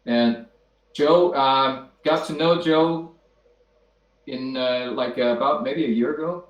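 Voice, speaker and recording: A man in his fifties from China, native Chinese speaker, introducing himself as a guest on a video call, measured with frequent fillers. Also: mid-range voice, muffled recording. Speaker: guest, company founder. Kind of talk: self-introduction. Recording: roomy echo.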